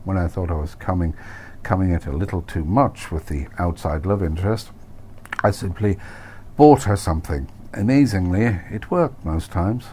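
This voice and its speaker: Low-voiced man narrating, muted tone, some noise. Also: normal speed, warm tone. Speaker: author reading own novel. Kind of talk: first-person audiobook narration.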